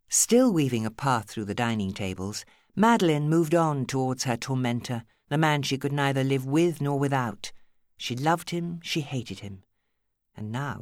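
A woman's voice: extremely low pitch, dry recording, studio quality. A woman with an English accent reading a novel as an audiobook narrator, in a dramatic scripted style.

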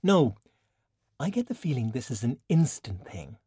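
A blunt young Irish woman in a storybook played by a male narrator. The delivery is casual and wry, slightly raised in pitch. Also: big pitch swings, moderate pace, warm tone, slightly rough, no echo, clean studio sound. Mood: frank, questioning.

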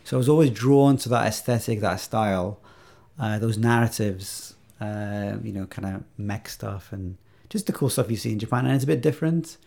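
Podcast guest, man in his thirties from Iraq, warm gravelly low voice, thoughtful and hesitant. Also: normal speed. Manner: reflective, many fillers and restarts. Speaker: podcast guest, video game maker. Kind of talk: casual interview chat.

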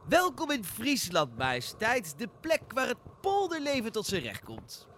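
A man's voice: extremely high pitch, wildly swooping pitch, normal speed, sharp tone, a little hiss or hum, voice pushed up, heavily acted. A man playing a polite, deferential royal servant in a comedic audio drama, formal and obsequious. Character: deferential, polite male royal lackey showing land.

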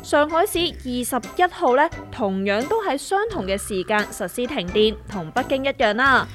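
A woman's voice: a little high, expressive, normal speed, a bit bright. A woman playing a plain-spoken, knowing explainer in a comedic radio drama, chatty and with a Hong Kong Cantonese accent. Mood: matter-of-fact. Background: music.

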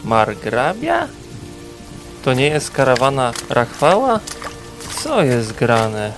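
A man playing a slow-spoken, self-assured fantasy wanderer. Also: mid-range voice, wildly swooping pitch, music in the background, exaggerated voice. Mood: impatient. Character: wary, self-assured male wandering traveller seeking hire. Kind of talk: fantasy audio drama acting.

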